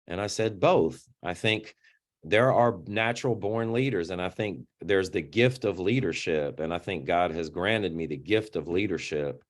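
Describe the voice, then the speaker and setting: A middle-aged man with a deep voice, speaking as an interview guest in an earnest, reflective way and often repeating words as he starts a sentence. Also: normal speed. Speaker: interview guest, youth organization founder.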